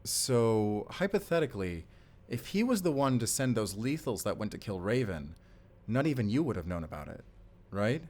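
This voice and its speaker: Wary male ally, acted by a man: lowish voice, questioning and distrustful, protective of a partner, cyberpunk audio drama. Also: very animated, moderate pace, a little mellow. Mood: suspicious. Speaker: voice actor. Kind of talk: cinematic cyberpunk audio roleplay acting.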